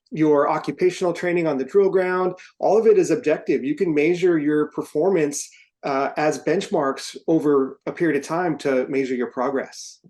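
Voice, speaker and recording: A man's voice: fairly high pitch, a little mellow. A man co-hosting an instructional podcast, explaining methodically and step by step in an informal way. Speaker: podcast co-host, firefighter and trainer.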